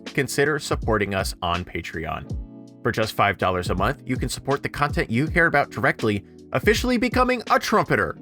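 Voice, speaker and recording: A man's voice: on the low side, pushed and bright. A man hosting a podcast, reading a promo and introducing the next segment in an upbeat way. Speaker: podcast host.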